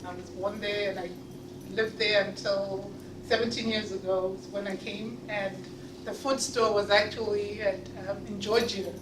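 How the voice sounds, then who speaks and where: A woman's voice: medium pitch, little pitch movement, normal speed. A woman originally from Zimbabwe, answering questions at a club meeting in an easygoing, laughing way. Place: meeting room. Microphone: far camera. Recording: echoey room, far off, background noise.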